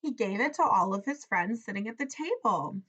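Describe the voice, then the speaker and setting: A woman's voice: mid-range voice, lively intonation, moderate pace, fairly bright tone, breathy edge, highs cut off. A woman teacher reading a picture book aloud expressively, explaining simply and putting questions to young listeners.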